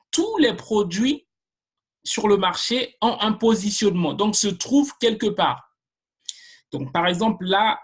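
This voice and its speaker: A marketing trainer teaching a live online class at an unhurried, slow pace, in a voice of ordinary middle pitch. The delivery is halting, with sentences restarted and filled with pauses as each concept is laid out step by step. The speaker addresses the viewers directly, like a teacher in front of a class. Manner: hesitant, with frequent restarts and filler sounds.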